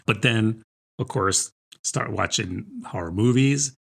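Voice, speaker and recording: A middle-aged American man talks books as a podcast co-host. His voice sits on the low side with a husky, rough edge. The delivery is informal and chatty, often checking in with a quick rhetorical question. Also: fairly bright tone. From New Jersey, United States. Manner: informal, chatty, peppered with rhetorical "right?" and "I mean". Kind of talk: casual conversational book chat.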